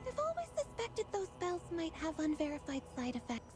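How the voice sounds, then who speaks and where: An actress playing a gentle, loyal young mage attendant in a fantasy game, polite and earnest. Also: female voice, very high voice, wildly swooping pitch, a little fast, exaggerated voice. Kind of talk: dramatic game voice acting. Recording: game music playing behind.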